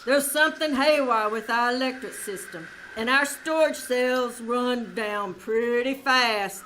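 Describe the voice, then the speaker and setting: A woman's voice: fairly high pitch, unhurried, sharp tone, poor audio. A woman playing a folksy, easygoing bus driver with colloquial speech in 1940s radio drama style. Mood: matter-of-fact. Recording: live stage recording, background noise.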